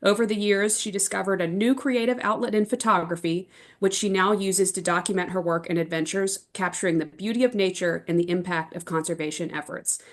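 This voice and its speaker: A woman's voice: on the low side, fairly even intonation, fairly quick. A woman hosting an online event, reading out a guest's introduction over a video call. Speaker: event host.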